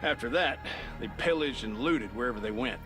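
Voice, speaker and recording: A man acts out a steady, grim commander in a survival game who lays out a dangerous rescue. His voice is mid-pitched and measured, every line grave. Game music sits clearly behind him. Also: natural voice. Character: serious male shelter commander, steady and grim. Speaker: shelter leader giving a briefing. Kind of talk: game cutscene voice acting.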